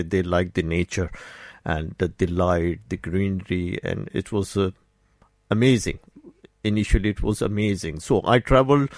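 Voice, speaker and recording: Pakistani man in his sixties, halting. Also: low-pitched, darkish tone, no echo. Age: 60s. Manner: halting, many filler pauses. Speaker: guest, doctor and painter. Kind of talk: radio interview. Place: radio studio.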